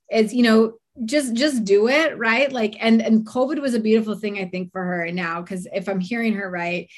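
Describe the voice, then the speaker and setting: A woman's voice: fairly high pitch, fairly bright tone. A woman hosting an interview in a casual, slangy, enthusiastic chat style. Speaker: podcast host interviewing a guest.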